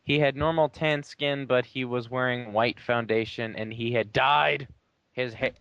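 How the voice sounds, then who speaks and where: A man reads aloud dramatically among friends in a crisp, bright voice of middling pitch. The sound is dry but a little dull and lo-fi. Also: steady tone, unhurried, dry recording. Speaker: player in a dramatic reading game.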